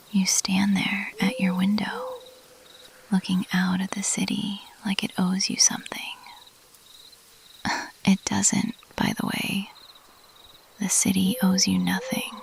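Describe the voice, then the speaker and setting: A woman voicing a mischievous, playfully elusive bat monster girl in a teasing, intimate, breathy whisper for an ASMR roleplay. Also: medium pitch, flat delivery, slight background noise. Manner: slow, teasing, intimate, lingering on pauses. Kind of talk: ASMR roleplay, addressed to the listener.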